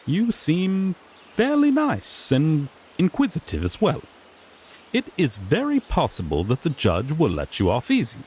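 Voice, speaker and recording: Man playing a fussy griffon knight, wildly swooping pitch. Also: huge pitch swings, unhurried, darkish tone, a little hiss or hum. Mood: officious, patient. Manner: formal, fussy, explanatory, mildly exasperated. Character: sassy griffon knight, earnest rookie lawman making first arrest. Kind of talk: scripted fantasy roleplay audio drama.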